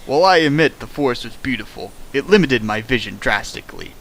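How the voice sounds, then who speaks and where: A man's voice: mid-range voice, lively intonation, fast, vocal fry, a bit bright, slight background noise, rough recording. A man reading a fan fic aloud for a stream, breaking off into sarcastic comments and sudden sing-song bits. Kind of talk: comedic dramatic reading with riffing.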